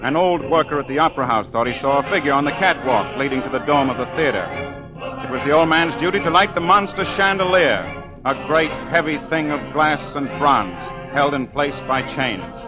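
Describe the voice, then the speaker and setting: An actor playing an earnest young man who narrates a mystery in a measured, dramatic 1940s radio drama style. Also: a little high, expressive, lightly acted, low-quality recording. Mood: suspenseful. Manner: measured, dramatic storytelling delivery. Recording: 1940s radio broadcast with background music.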